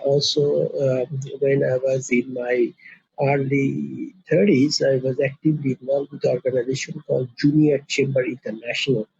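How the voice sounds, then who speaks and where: A man's voice: on the high side, gravelly, a little mellow, husky, no room sound. A middle-aged man from Bangladesh, a company co-founder being interviewed, talking earnestly with many fillers. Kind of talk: casual interview. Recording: muffled, dry lo-fi sound.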